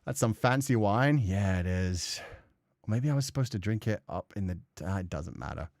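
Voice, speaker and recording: A man's voice: on the low side, expressive, moderate pace, vocal fry, darkish tone. A man doing casual let's play commentary, muttering sweary asides and reading bits of game text aloud to himself. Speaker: gaming streamer.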